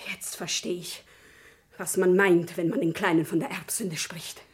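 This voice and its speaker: An actress playing an impassioned, defiant shopkeeper's wife in Paris, pleading dramatically in a classic German radio play. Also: female voice, fairly low pitch, fairly even intonation, moderate pace, slightly rough. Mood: impassioned, anguished.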